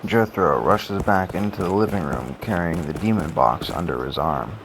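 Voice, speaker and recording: Deep-voiced male story narrator. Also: animated, moderate pace, a little hiss or hum.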